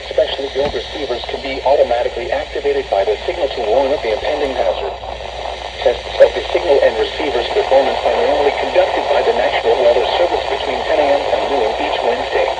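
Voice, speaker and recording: A man's synthetic-sounding voice reading a formal public service announcement in a measured, impersonal way as a weather radio announcer. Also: medium pitch. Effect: weather radio transmission. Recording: very noisy radio receiver reception.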